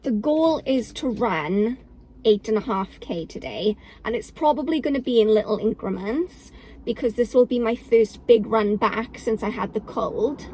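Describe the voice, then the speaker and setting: A woman's voice: fairly high pitch, moderate pace, mellow, slight background noise, poor audio. A young woman, talking casually to camera in a vlog, chatty and self-mocking, laughing often. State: congested from a cold. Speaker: vlogger.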